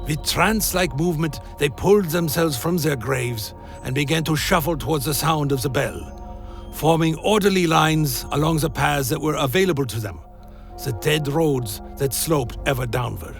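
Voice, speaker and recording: A man playing a brooding vampire who tells his tale in a grave, deliberate, dramatic way, as scripted gothic radio play narration. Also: on the high side, creaky. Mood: grave, awed. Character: Alaric the Damned, brooding male vampire telling his tale. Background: music.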